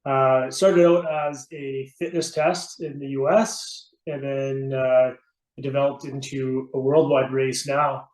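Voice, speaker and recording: A man's voice: mid-range voice, flat delivery, measured pace, darkish tone. A middle-aged man from Canada talking casually as an interview guest about his own experience. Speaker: podcast guest, veteran firefighter and competitor. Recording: roomy, echoey sound.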